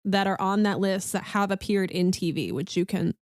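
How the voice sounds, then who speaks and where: Woman, podcast co-host, teasing, deadpan quips, mid pitch, dry. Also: moderate pace, no room sound. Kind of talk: casual podcast chat.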